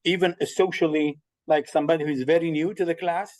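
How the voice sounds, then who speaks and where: A man's voice: a little high, fairly even intonation, fast talker, a little mellow, dry recording. A man, a teacher, speaking up in an online class in a thoughtful, hesitant way with restarts. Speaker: teacher and session participant. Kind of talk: spoken contribution in online class. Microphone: video call.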